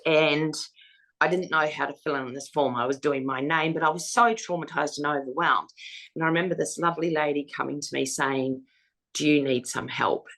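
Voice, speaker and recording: A woman with a husky voice, frankly recounting her own experience as an interview guest. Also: low voice, steady tone, moderate pace, a bit bright, rough recording. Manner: frank, matter-of-fact storytelling. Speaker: foundation founder, interviewee. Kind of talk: personal testimony in an interview.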